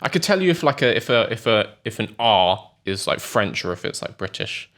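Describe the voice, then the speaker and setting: A man with a bright, forceful voice and very lively pitch movement. He banters casually as a quiz contestant, teasing and joking as he goes. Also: fairly quick. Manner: jokey, teasing.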